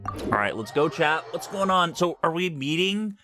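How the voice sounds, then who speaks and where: A man's voice: fairly high pitch, lively intonation, normal speed, over music. A man giving casual, reactive, slangy live commentary as he streams a game playthrough.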